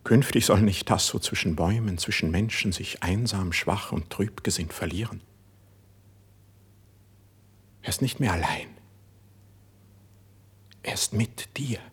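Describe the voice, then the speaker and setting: Casting: sensitive young poet for verse radio drama; lowish, breathy voice, lofty fervent delivery. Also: male voice, moderate pace. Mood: rapturous, resolved. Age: young adult. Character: idealistic, impulsive young male poet, ardent and sensitive. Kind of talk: classical German verse radio drama acting.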